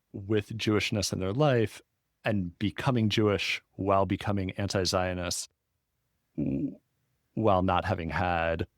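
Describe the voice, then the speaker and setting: A man's voice: fairly low pitch, no room sound, clean studio sound. A man hosting a podcast who asks curious, probing questions. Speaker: podcast host. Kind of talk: interviewing.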